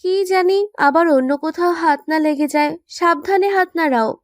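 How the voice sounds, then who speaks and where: A woman's voice: very high voice, moderate pace, dry recording, clean studio sound. A woman playing a pouty, teasing young woman in love who scolds and complains in a romantic audio story. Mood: playful scolding. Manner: complaining, playfully indignant.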